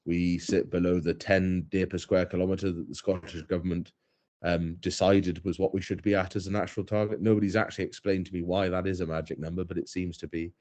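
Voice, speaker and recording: A man's voice: sits low, flat delivery, brisk, darkish tone. A young man, a guest on a podcast, talking casually in a thoughtful, hesitant way with many fillers and restarts. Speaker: head stalker, guest.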